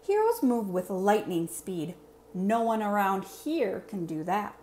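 A woman's voice: mid-range voice, very animated, a little slow, a little mellow. A woman voicing a boastful, earnest monster superhero in a storybook, with formal, over-enunciated phrasing. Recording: clear background room noise.

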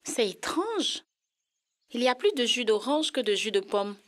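A woman voicing a curious, expressive young girl in an educational children's cartoon. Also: fairly high pitch, measured pace. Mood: puzzled.

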